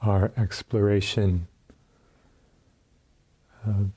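A man leading a guided meditation as a teacher, speaking calmly in short phrases with long pauses and a soft, husky voice. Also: lowish voice. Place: meditation retreat room.

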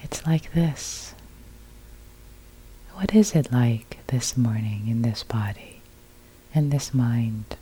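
A woman meditation teacher with a soft, husky, breathy voice, guiding a meditation in sparse, unhurried, soothing phrases. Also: extremely low pitch, rough recording. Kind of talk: guided meditation.

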